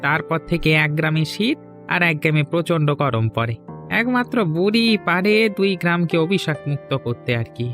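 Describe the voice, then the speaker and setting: A man's voice: on the high side, expressive, normal speed, a bit bright. A man playing a gloomy, resigned villager in a scripted cartoon story, with music behind him. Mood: grave, resigned. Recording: music under the voice.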